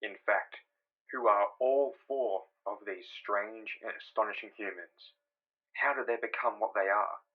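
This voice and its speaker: Low-pitched, theatrical male narrator. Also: unhurried, phone-quality sound. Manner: grandiose, theatrical. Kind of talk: dramatic scripted comic-book narration.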